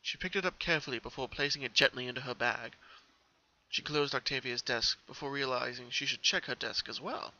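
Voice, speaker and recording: A man's voice: mid-range voice, fairly quick, pushed and bright, lo-fi sound. A man narrating a story as a dramatic reading. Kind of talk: dramatic reading of fan fiction.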